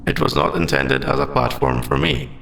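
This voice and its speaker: A man voicing a formal, logical android AI in an ASMR audio roleplay, with precise, stilted phrasing and gravelly endings to his phrases. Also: medium pitch, fairly even intonation, normal speed, fairly bright tone, slight background noise. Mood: earnest, curious. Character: formal, logical male android AI, secretly sentient and earnest.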